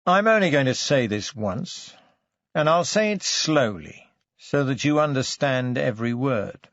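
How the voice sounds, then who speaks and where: A stern police detective, acted out by a male audiobook reader, forceful and biting. He hectors slowly and deliberately, with gravel in the voice. The sound is dry and slightly dull. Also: a little high, expressive, dry recording.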